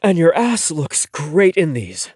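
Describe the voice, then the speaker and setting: A man doing a soft, indulgent boyfriend. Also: on the high side, very animated, slow pace, breathy edge, no echo, studio quality, voice pushed up, heavily acted. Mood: tender. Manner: soft, reassuring. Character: gentle, indulgent young boyfriend.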